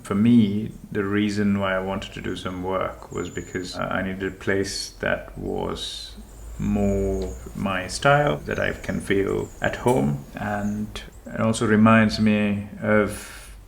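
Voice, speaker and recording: A man from Sri Lanka, a homeowner, talking in a slow, thoughtful and hesitant way about his house. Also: fairly low pitch, animated, creaky. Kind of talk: reflective conversational chat.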